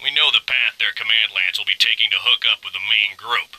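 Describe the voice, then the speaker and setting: An actor playing a sardonic, swaggering mercenary pirate pilot who delivers mission briefings in an understated way for a video game. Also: male voice, lowish voice, fairly even intonation, fairly quick, sharp tone, no room sound, exaggerated voice, rough recording. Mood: wry, businesslike.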